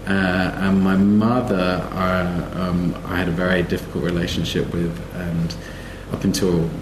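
Low-voiced man in an echoey room. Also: little pitch movement, unhurried. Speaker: panelist. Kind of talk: personal storytelling on a panel. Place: live festival panel event. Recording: echoey room with background noise.

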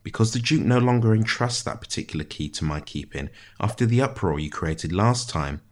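A man's voice: fairly low pitch, expressive, fairly quick, voice pushed up. A man voicing a good-humoured, bantering jailer with a sing-song manner in a storybook reading. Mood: exasperated.

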